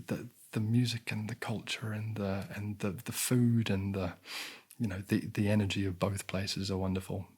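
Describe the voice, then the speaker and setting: Soft-spoken raspy New man. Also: on the low side. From New Zealand. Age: 20s. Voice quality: raspy, losing his voice. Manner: soft-spoken, unhurried, frequent throat clearing. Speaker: ASMR channel host.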